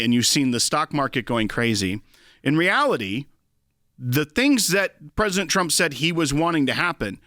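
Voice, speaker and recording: A man's voice: a little high, sharp tone, dry recording, clean studio sound. A man hosting a talk show, giving emphatic, conversational political commentary. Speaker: host.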